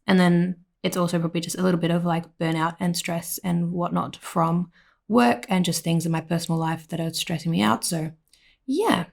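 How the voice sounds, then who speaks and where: A woman with an Australian accent, slightly hoarse, giving a rambling voiceover at home full of "like" and "um". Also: lowish voice, fairly even intonation, normal speed, darkish tone. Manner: rambling, with many "like" and "um" fillers. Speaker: planner vlogger. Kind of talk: casual voiceover narration.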